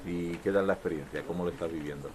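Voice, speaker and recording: A man's voice: deep voice, fast, darkish tone. A man working as a TV reporter, introducing a report and asking interviewees short, direct questions. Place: volunteer dining hall at a refugee camp.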